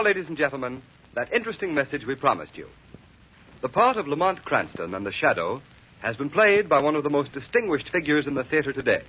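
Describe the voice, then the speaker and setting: High-pitched American radio announcer, a man. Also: husky. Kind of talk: formal 1930s radio announcing. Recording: dull, muffled old radio recording.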